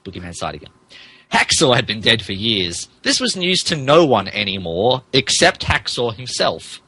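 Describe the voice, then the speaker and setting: Animated, bright-voiced man narrating dramatically. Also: mid-range voice, normal speed, husky, no echo, rough recording. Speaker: podcast narrator. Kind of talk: dramatic comic narration of fan fiction.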